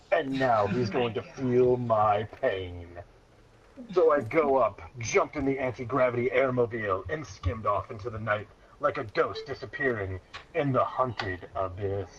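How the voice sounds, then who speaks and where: A man reading a story aloud in a mock-serious, dramatic way, often close to breaking into laughter. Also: medium pitch. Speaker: reader of a childhood story. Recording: dull lo-fi sound, clear background noise.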